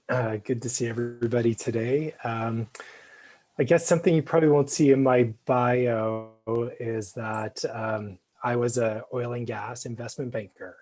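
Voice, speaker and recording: A man introducing himself on a forum panel, halting and filling pauses with many ums and you-knows. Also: medium pitch, a little mellow.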